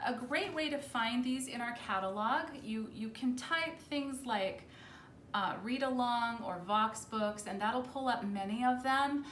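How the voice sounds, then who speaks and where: A woman's voice: a little high, fairly bright tone. A woman librarian casually presenting children's books in a chatty, informal way, explaining things as she goes. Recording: roomy echo with faint background noise.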